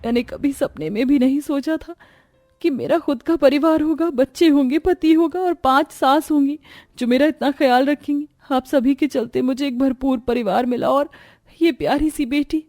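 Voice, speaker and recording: A woman's voice: sits high, moderate pace, warm tone, no echo, clean studio sound, soft background music, pitched above the performer's own voice, natural voice. A woman voicing a grateful, devoted young soldier daughter-in-law in a sentimental cartoon moral story. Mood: tearfully grateful.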